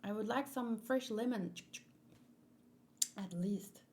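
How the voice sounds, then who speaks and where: Slow, halting woman chatting on a livestream. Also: medium pitch, a little mellow, slight background noise. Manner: rambling, easily distracted, halting. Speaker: livestream host doing manga read-alongs. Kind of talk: casual livestream chat.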